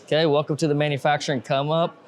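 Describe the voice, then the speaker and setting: A man's voice: on the high side, flat delivery, fast talker. A man hosting a podcast in loose, rambling casual chat, full of restarts. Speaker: podcast host.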